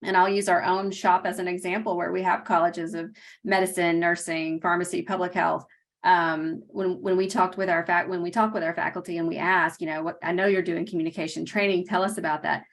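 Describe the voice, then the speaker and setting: A woman's voice: lowish voice, level tone, a little fast. A woman on a webinar panel, explaining health literacy work in a practical, explanatory way over a video call. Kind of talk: panel discussion.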